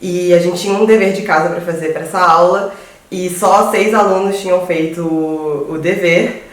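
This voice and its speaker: A Brazilian woman in her thirties telling a story to friends, halting and often repeating words as she searches for phrasing. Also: lowish voice, steady tone, far from the mic. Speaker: guest. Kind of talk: casual storytelling among friends. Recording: very echoey room, voice from afar.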